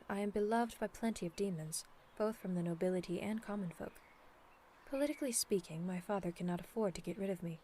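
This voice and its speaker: A woman playing a dignified, earnest demon princess in a scripted ASMR audio roleplay, speaking in a formal, measured, persuasive way. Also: mid-range voice, mellow, a little hiss or hum, close to an everyday voice. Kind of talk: scripted ASMR roleplay acting, addressed to the listener.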